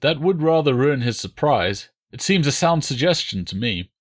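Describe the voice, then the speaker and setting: A man as a measured, commanding Roman general. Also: mid-range voice, very animated, husky, pitched above the performer's own voice. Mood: decisive. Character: commanding, shrewd Roman army commander interrogating a prisoner. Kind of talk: audiobook character voice.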